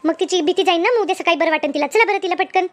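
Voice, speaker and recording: A woman voicing an excitable, easily frightened village woman in a cartoon comedy, with a rural Maharashtrian accent and a chattery, repetitive way of talking. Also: very high voice, racing. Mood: worried. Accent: rural Maharashtrian Marathi.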